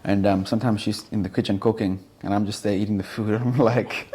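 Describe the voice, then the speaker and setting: A man's voice: on the low side, fairly even intonation, fast, very noisy. A man who grew up in Indonesia, with Urdu as his first language, hosting a casual podcast chat in a loose, jokey, slangy way with the odd swear word. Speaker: podcast host.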